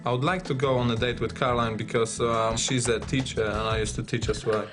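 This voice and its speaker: A man's voice: mid-range voice, steady tone, brisk. A man, a personal trainer speaking plainly and a little hesitantly on a dating show. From Poland. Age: 30s. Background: music.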